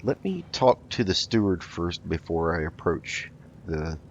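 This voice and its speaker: A man improvising in character while playing a video game, speaking politely and haltingly. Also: low-pitched, lively intonation. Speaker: let's play host. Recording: dull lo-fi mic with noticeable noise.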